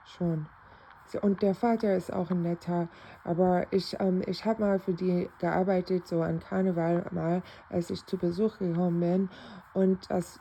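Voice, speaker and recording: Female vlogger, flat gravelly delivery, American accent in German, with faint background noise. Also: on the low side. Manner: rambling, repeats phrases, many restarts; switches between English and German. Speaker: self-described novice clairvoyant. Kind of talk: casual rambling spiritual vlog.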